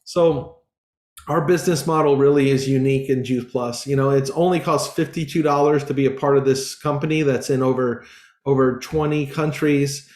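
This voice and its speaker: A man's voice: mid-range voice, fairly even intonation, moderate pace, a little mellow, reverberant. A man in his forties speaking as a business coach in an earnest, informal sales talk, full of fillers and restarts. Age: 40s. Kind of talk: casual sales presentation.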